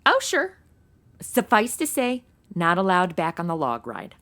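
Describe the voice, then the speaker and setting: A woman's voice: fairly low pitch, huge pitch swings, unhurried, a bit bright, full character voice. An actress playing a breezy, scatterbrained young modern woman in a comedy audio drama, flippant and full of slang. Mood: chatty, breezy. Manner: flippant, slangy Valley-girl phrasing with "like" and OMG. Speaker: lead character and narrator.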